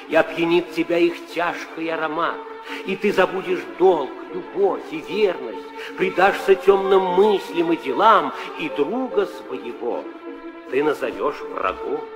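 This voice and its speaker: Solemn storyteller, slightly high voice, slow declamatory verse over clear music, vintage radio play. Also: male voice. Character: solemn poetic storyteller preaching good over evil. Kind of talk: 1960s theatrical verse recitation.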